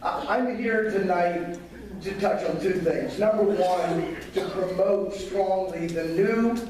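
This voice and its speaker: A man, a county commissioner, giving a campaign speech at a meeting with a raspy, hoarse voice. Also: sits high, slow pace, darkish tone. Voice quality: raspy, losing his voice. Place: meeting hall. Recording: echoey hall from a distance, faint noise.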